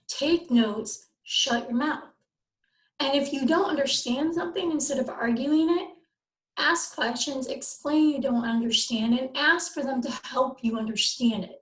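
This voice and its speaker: A woman on a discussion panel giving plain-spoken advice in short, direct, emphatic phrases. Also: high voice. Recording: echoey, roomy sound.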